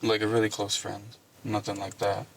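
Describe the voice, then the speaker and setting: A man's voice: lowish voice, little pitch movement, moderate pace, vocal fry, a bit bright, a little hiss or hum. A young man from Puerto Rico, not a native English speaker, giving casual, hesitant and evasive answers as a driver questioned by police, on body camera audio. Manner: hesitant, hedging.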